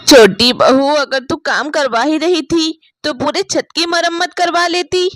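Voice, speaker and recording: A woman voicing a patient, weary elderly mother-in-law who pleads and gently chides in a family story. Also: high voice, unhurried, dry recording, clean studio sound. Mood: reproachful. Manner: chiding, pleading.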